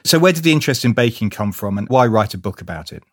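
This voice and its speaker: Male podcast presenter, closely recorded and dry, slightly low voice, introducing and interviewing in a conversational style. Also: lively intonation, fast talker, no room sound. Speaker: podcast host.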